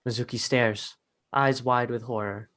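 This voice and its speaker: A man reads scripted narration in a medium-pitched voice. He serves as narrator, delivering stage directions for a dramatic reading. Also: fairly even intonation, a little slow, fairly bright tone.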